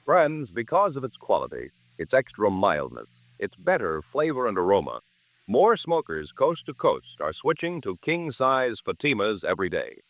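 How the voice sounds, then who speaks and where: A man's voice: on the low side, wildly swooping pitch, moderate pace, a bit bright, no room sound. A man announcing a 1950s radio commercial with polished, emphatic salesmanship. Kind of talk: 1950s radio advertising read.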